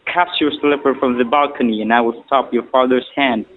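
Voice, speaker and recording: A man's voice: mid-range voice, steady tone, brisk, phone-quality sound, slight background noise, natural voice. A man playing a courtly, romantic emperor in an audio drama, speaking formally and poetically. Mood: tender, wooing. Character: romantic emperor, courtly, tender, dreamy ruler. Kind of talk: stage-style audio drama acting.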